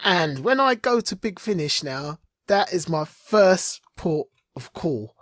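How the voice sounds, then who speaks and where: Podcast co-host just chatting, opinionated and emphatic, medium pitch, really dry sound no room. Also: very animated, takes it slowly, fairly bright tone, no room sound. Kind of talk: casual chat about streaming and geek culture.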